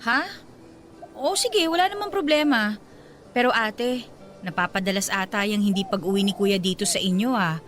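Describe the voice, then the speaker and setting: An actress playing a concerned, outspoken younger sister in a Filipino radio drama, probing and expressive, with a Filipino accent. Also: female voice, mid-range voice, normal speed, long pauses, soft background music, close to an everyday voice. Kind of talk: Tagalog radio drama acting.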